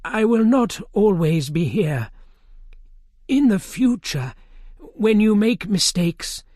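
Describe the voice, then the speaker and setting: A narrator voicing an old male wizard who speaks slowly and solemnly, with a higher lifted tone and drawn-out words. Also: sing-song, a little mellow, no echo, clean studio sound. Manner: slow, drawn-out solemn speech. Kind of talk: audiobook character voice.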